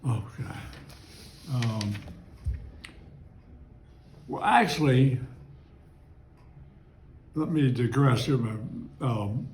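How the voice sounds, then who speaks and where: An elderly man in his nineties recalls his youth at his own unhurried pace, drifting off on side stories and chuckling now and then. His voice sits at an ordinary middle pitch. The room around him is noticeably echoey. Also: expressive, a little mellow. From Maryland, US. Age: 90s. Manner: rambling, digressive, chuckles while recalling. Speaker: interviewee. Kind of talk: oral history reminiscence.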